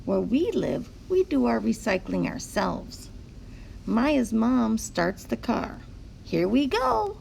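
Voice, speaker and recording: A woman's voice: fairly high pitch, lively intonation, takes it slowly, gravelly, mellow, slight background noise. A woman reading a picture book aloud to young children, explaining things simply like a teacher. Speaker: teacher-like read-aloud host.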